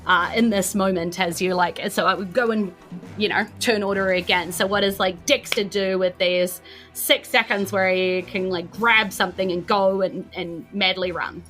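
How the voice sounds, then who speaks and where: A woman with a New Zealand accent narrating a tabletop game as game master, in an informal, halting, descriptive way. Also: mid-range voice, fairly even intonation, a bit bright, soft background music.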